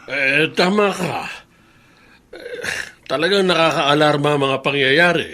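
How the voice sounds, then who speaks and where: An actor playing a calm father who comforts his anxious son in a radio drama, often pausing with hesitant fillers. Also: a little high. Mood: soothing. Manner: soothing, hesitant with "eh" and "ah". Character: calm, reassuring older father.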